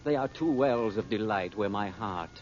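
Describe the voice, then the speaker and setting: Deep-voiced ardent desert suitor played by a man. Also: moderate pace, slight background noise, close to an everyday voice. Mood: tender, ardent. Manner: formal, flowery, intense. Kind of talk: 1940s radio acting, romantic melodrama. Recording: muffled vintage radio recording with music. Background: music.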